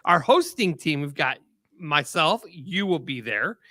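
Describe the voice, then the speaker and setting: A man with a high, bright voice hosts a casual talk show, upbeat and chatty, now and then tripping over guests' names. He speaks close to a studio mic in a dry, dead room. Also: big pitch swings, a little slow, no room sound. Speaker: podcast host. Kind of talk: casual chat.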